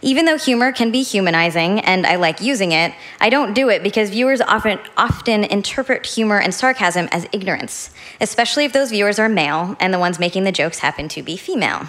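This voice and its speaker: A woman speaks in a measured, deliberate way, delivering a prepared talk. Her pitch sits in a middle range, and each phrase is steady and carefully placed. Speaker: speaker in a played video.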